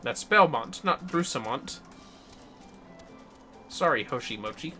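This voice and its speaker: Male game streamer, bright and forceful, very animated, casual playful swearing, faint music underneath. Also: medium pitch, unhurried. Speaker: livestreamer. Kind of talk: casual gaming livestream commentary.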